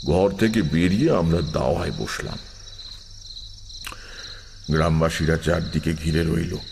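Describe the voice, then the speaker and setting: Deep, slow male horror narrator. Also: steady tone, creaky. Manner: grave, deliberate, suspenseful. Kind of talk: scripted horror story narration. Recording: long reverb, faint night-insect noise.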